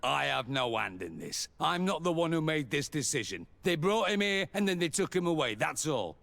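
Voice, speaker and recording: A man's voice: fairly high pitch, sing-song, moderate pace, gravelly, a bit bright, heavily acted. An actor playing a dismissive, defensive male official in a fantasy game, speaking curtly. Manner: curt, dismissive. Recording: echoing hall reverb.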